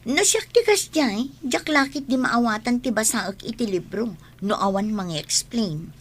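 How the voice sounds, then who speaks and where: A woman's voice: fairly high pitch, animated, measured pace. A woman playing an envious, argumentative young classmate in a radio drama, challenging and complaining as she talks. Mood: skeptical, complaining.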